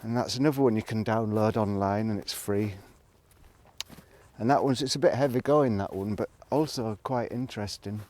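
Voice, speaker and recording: A man with a fairly low voice speaks in a casual, reflective vlog style. He muses and rambles, halting and trailing off between thoughts. Faint noise and clicks sit behind his voice. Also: darkish tone. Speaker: vlogger. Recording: noticeable background noise, clicks.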